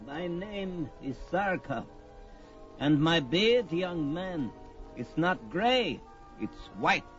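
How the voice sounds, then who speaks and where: An aged sorcerer, speaks high and slowly with theatrical emphasis. He is indignant and boastful, swinging widely in pitch as in a vintage fantasy record drama. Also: sing-song, a bit bright, soft background music, heavily acted. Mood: indignant, aggrieved. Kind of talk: 1970s audio drama record acting. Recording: dull vintage story record.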